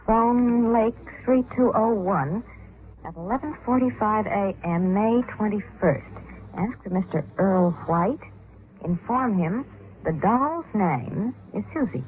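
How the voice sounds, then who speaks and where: An actress playing a guarded, calculating woman in an old radio mystery, hesitant yet deliberate in her delivery. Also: mid-range voice, expressive. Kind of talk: 1940s radio mystery acting. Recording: tinny, hissy old radio drama recording.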